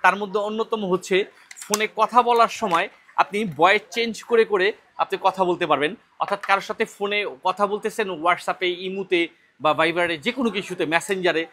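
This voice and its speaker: A man with a Bangladeshi accent and a notably high-pitched voice presents a product for an online shop. He delivers an informal sales pitch, explaining features and often restating them in other words. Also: normal speed, bright, forceful tone.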